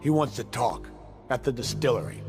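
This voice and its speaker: A man's voice: medium pitch, animated, normal speed, music in the background, natural voice. An actor playing a blunt, informal street gang henchman in a video game. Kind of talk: video game character dialogue.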